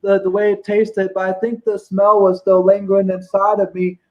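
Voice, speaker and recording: A man who is a guest on a live stream, talking casually in a halting way, with a stutter, long pauses and repeated words. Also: sits high, level tone, highs cut off.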